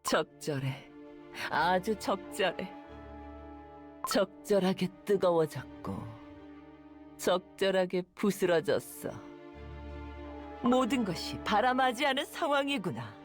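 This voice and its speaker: A woman voicing an imperious dark witch in a game cutscene, coldly satisfied, deliberate and savoring each word. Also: mid-range voice, big pitch swings, faint music behind. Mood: smug, calm. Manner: savoring, deliberate, repeats words for effect. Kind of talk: scripted video game cutscene acting.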